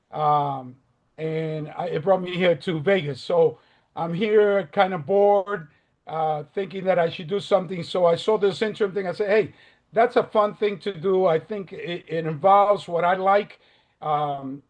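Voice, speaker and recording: An older man, originally from the Dominican Republic, speaks over a video call in a slightly high voice. He delivers his interview opening statement earnestly and haltingly, with frequent fillers. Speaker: job candidate.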